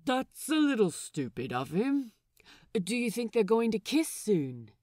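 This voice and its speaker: A woman's voice: a little high, sing-song, measured pace, darkish tone, no echo, studio quality, pitched above the performer's own voice. A woman voicing an eager, wide-eyed, curious male pirate crewman in a storybook reading. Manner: curious, enthusiastic.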